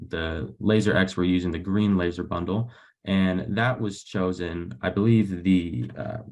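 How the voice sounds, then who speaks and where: A young man, a graduate researcher, giving a technical webinar presentation over a video call in an explanatory, somewhat hesitant way, with a low creaky voice. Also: a little mellow. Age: young adult.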